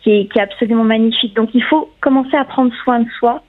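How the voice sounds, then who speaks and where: A chatty woman with a somewhat high voice, talking freely and filling gaps with little fillers. She comes through a thin, tinny phone line. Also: fast talker. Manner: chatty, laughs often, frequent "euh" and "voilà". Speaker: sex columnist. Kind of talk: radio talk show segment. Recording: narrow phone-line sound.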